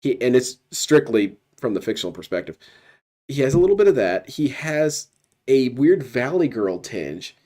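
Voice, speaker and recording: A man's voice: medium pitch, sing-song, moderate pace, dark tone. A man giving casual, sardonic commentary as a streamer, heckling what he watches. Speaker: streamer reacting to another stream.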